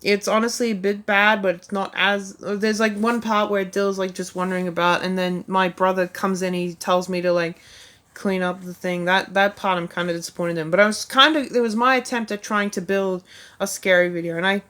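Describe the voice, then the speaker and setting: A woman's voice: mid-range voice, fairly even intonation, normal speed, a bit bright. A woman talking casually about her own past videos, rambling and self-deprecating, with lots of "like" and "um" fillers. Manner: rambling, heavy use of "like" and "um".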